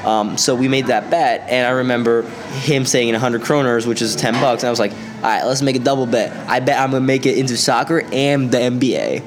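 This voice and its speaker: A man's voice: medium pitch, fairly even intonation, fairly quick, hardly any pauses, over music. A young man from Sweden with a slight Swedish accent, a podcast guest talking casually in a laid-back, rambling way full of "like". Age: young adult. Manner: laid-back, rambling, laughs often, lots of "like".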